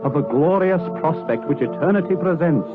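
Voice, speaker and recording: A man playing a solemn, rapt narrator in a gothic audio drama, speaking in a grave, measured, ornate way. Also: fairly high pitch, expressive, lightly acted. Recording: muffled narrow-band sound with music behind.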